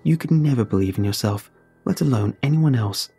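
A calm, cryptic young Eagle Scout speaks evenly and earnestly in a spooky storybook tale, as a male narrator puts him on. His voice sits low and gravelly, with a husky edge, and faint music plays underneath. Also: a little fast. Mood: sincere.

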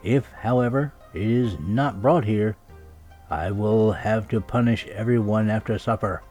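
A man's voice: lowish voice, measured pace, a little mellow, faint music behind. A man voicing an imperious, easily affronted emperor in a storybook, pompous and insistent. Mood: insistent, threatening.

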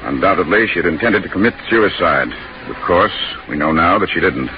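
An actor playing a stern, quietly pointed official with a formal, measured, insinuating delivery in a 1940s radio drama. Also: male voice, deep voice, lively intonation, faint music behind. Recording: noisy, dull 1940s radio recording.

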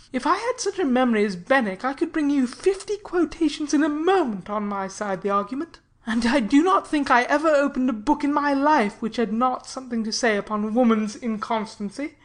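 A woman voicing an earnest, warm-hearted male sea captain in an audiobook. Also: high-pitched. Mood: arguing. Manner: earnest, emphatic pleading. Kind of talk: audiobook character reading.

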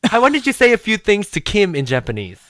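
A man co-hosting a casual chat show, animated and teasing, with bursts of laughter. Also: high voice, fast talker, no echo. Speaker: podcast co-host.

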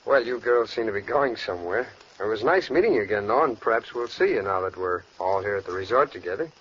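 An actor playing a smooth, calculating young gang leader in an old radio crime drama, speaking casually with a slick politeness. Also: male voice, on the low side, lively intonation, fast, fairly bright tone. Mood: smooth, polite. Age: young adult. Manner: casual slangy, turns politely ingratiating. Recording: dull, noisy vintage radio recording.

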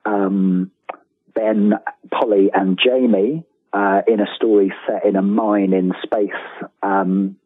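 A man's voice: lowish voice, steady tone, slow, husky, no room sound. A man chatting casually as an interview guest, hesitant and wryly self-deprecating, over a tinny remote call. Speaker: guest, writer of books and audio dramas. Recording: tinny phone-like line.

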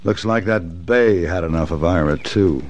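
A man's voice: deep voice, normal speed, close to an everyday voice. A man acting as a composed gunman in an old radio western. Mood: grim. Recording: noisy old radio recording.

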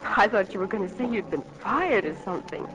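An actress plays a sweet young farm daughter in a 1940s radio drama. Her medium-pitched voice is tender, sincere and encouraging. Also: animated, brisk, close to an everyday voice, poor audio. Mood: tender, hopeful.

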